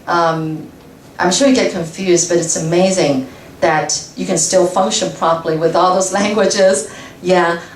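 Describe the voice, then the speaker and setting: A woman speaking as a guest to a class, chatty and casual, laughing often and restarting her sentences. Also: fairly low pitch. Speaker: guest speaker, radio journalist. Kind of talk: talk answering audience questions. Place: lecture room. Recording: echoey room from far off, faint noise.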